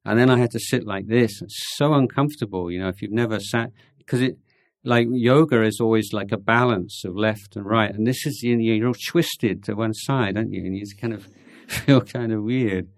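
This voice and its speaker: Hesitant monk with a low voice. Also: male voice, moderate pace, no room sound, studio quality. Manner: hesitant, many ums and restarts. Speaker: Buddhist monk answering questions. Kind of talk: informal talk answering audience questions.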